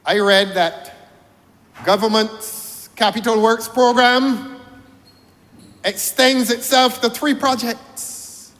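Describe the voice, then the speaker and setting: A man's voice: very high-pitched, steady tone, takes it slowly, long pauses, a bit bright, long reverb tail. A Barbadian man giving a parliamentary debate speech, rhetorical, repeating key phrases for emphasis. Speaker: member of parliament. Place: parliament chamber.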